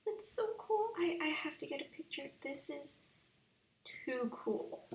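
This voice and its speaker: A young woman giving casual, slangy let's play commentary on a video game in an excitable, gushing way. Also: high voice, unhurried, roomy sound, in a whisper, distant mic. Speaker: video game streamer and channel host.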